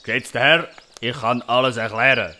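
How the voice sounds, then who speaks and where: A man's voice: medium pitch, steady tone, moderate pace, sharp tone. A man playing a blunt, impatient, henpecked father with a Swiss German accent in a comic audio drama. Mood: matter-of-fact. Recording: faint birdsong and outdoor noise.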